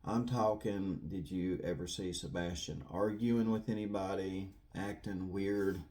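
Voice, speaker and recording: A man's voice: deep voice, a little slow, a little mellow. A man giving casual, rambling commentary to viewers in an earnest, folksy way, repeating himself for emphasis. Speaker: true crime video host.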